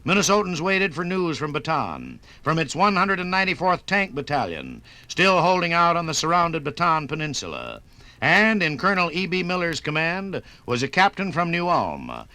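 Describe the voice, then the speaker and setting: Bright, animated American male radio narrator. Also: a little high, moderate pace, no room sound. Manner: emphatic, clipped phrases. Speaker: documentary narrator. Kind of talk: dramatic 1950s radio scripted narration. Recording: 1950s radio production from vinyl record.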